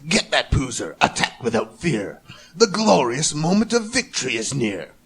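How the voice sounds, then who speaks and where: A man's voice: fairly high pitch, big pitch swings, moderate pace, a bit bright, breathy edge, exaggerated voice. A man voicing a big, bombastic storybook general who bellows pompous commands. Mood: rousing. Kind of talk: storybook character voice.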